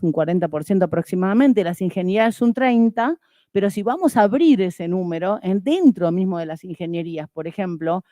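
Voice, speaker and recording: A woman's voice: lowish voice, dark tone, no echo, studio quality. A woman from Argentina speaking fluently and formally as a university rector on a panel. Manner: articulate, fluent and measured. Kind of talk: formal academic panel talk.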